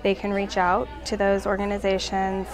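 A woman's voice: medium pitch, completely flat delivery, moderate pace. An American woman explaining a program informally in an interview. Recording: busy room chatter behind.

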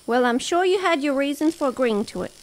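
Breezy rancher sharpshooter, high voice, confident, radio drama. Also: female voice, expressive, a little fast, slight background noise.

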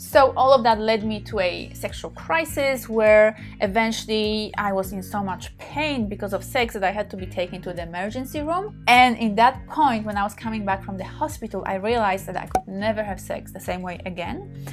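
A woman's voice: medium pitch, normal speed. Woman in her 30s, Polish accent, open chatty to-camera talk, faint music under. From Poland. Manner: warm, open, laughs often, gestures while talking. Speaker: sex therapist, channel host. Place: small bedroom, indoors.

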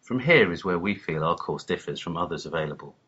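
A man who is a doctor and course lead reads a scripted promotional narration in a formal, measured manner. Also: low-pitched, lively intonation, bright, forceful tone, breathy edge. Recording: muffled lo-fi voice-over.

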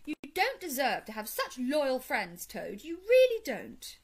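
A fussy, sensible rat gentleman, put on by a woman storyteller. He chides earnestly in a raised, expressive storybook voice with plenty of movement in pitch. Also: normal speed, slightly rough, heavily acted. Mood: scolding.

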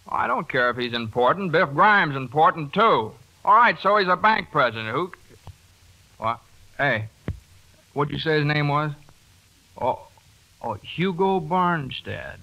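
An actor playing a brash, folksy small-town dentist with a grudge in a 1940s radio drama. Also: male voice, a little high, lively intonation, slow, long pauses, pushed and bright, highs cut off, exaggerated voice. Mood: gruff, boastful. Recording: old 1940s radio broadcast.

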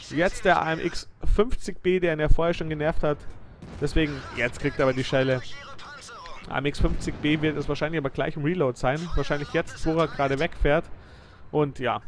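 Young man, game commentary, lively play-by-play, slightly high voice, faint background hiss. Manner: lively, excited play-by-play with frequent praise. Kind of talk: let's play commentary over a viewer's replay.

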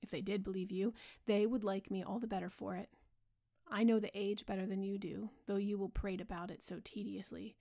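A woman's voice: medium pitch, level tone, a little fast, creaky, few pauses, mellow, natural voice. A woman reading the part of a proud, insolent young man who mocks his older friend, speaking in a hard, scornful way.

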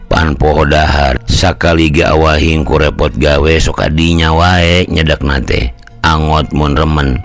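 A man doing a very deep, gravelly Sundanese village elder. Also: steady tone, faint music behind. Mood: opinionated. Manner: unhurried, opinionated, explaining. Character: gruff, opinionated older village elder explaining things.

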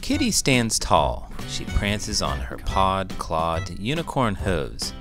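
A man narrating a children's story as read-aloud host, expressive and deliberate. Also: sits low, music in the background.

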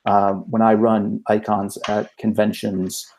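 A man on a video call explaining things in a measured, methodical way as an interview guest. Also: on the low side, flat delivery, a little mellow. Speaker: game designer, podcast guest.

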